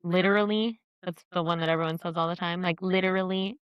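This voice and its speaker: A woman's voice: lowish voice, fairly even intonation, fast talker, clean tone, no echo, highs cut off. A woman from South Florida in a casual, conversational chat as a podcast co-host.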